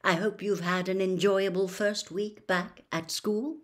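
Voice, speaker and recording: Woman reading as a placid, measured old headmaster. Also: mid-range voice, fairly even intonation, close to an everyday voice. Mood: calm, measured. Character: placid, courteous old male wizard headmaster. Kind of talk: storybook character reading.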